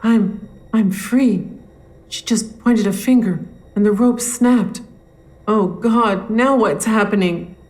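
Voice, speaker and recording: A woman playing a terrified, tormented victim in a horror audio drama, halting and pleading. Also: medium pitch, darkish tone, poor audio. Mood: shaken, fearful.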